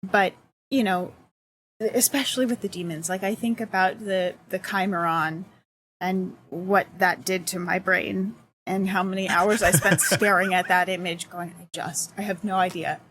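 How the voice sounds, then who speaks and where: A woman's voice: on the low side, steady tone, normal speed, fairly bright tone, poor audio. Thoughtful woman guest, faint hiss. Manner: thoughtful, searching for words, light laughs. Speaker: accessibility consultant, guest. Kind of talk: casual interview conversation.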